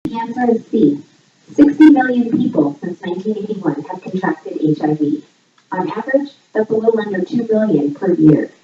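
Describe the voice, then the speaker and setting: A woman hosting a trivia game in a scripted voice-over, reading questions and answers in a measured, item-by-item way. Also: animated. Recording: echoey, distant, muffled low-fidelity playback. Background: voice audio played back in the room while filming.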